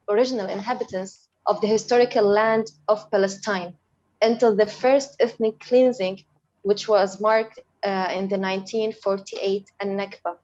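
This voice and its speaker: A woman's voice: mid-range voice, darkish tone. A young woman from Syria giving a presentation as a guest speaker and activist in an online webinar. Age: young adult. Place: online video call. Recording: dull lo-fi online call.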